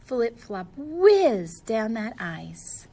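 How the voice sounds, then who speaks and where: A woman's voice: fairly high pitch, sing-song, drawn-out words, warm tone. A woman reading a storybook aloud to young children, expressively and speaking to them directly.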